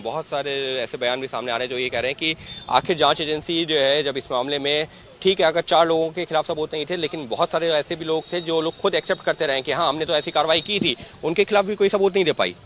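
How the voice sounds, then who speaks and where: Male field reporter, pitched a little high, live news questioning with light urban noise behind. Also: fast, hardly any pauses, a bit bright. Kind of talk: live news interview in Hindi. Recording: outdoor street noise behind.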